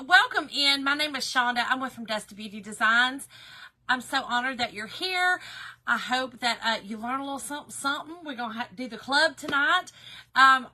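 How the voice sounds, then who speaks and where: A middle-aged woman from Georgia with a Southern accent hosts a live craft stream, greeting viewers in a folksy, chatty way. Her voice sits high and sounds very bright and forceful. It carries a slight hoarseness. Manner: folksy and chatty, calling viewers "girl" and "y'all". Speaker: craft livestream host.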